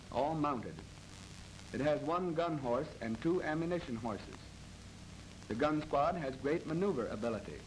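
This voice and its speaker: A noisy old film soundtrack from the 1930s carries an American man reading scripted military instruction. His voice sits at a medium pitch, and his delivery is formal, measured and matter-of-fact, with some noise around the words. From United States. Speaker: military training film narrator.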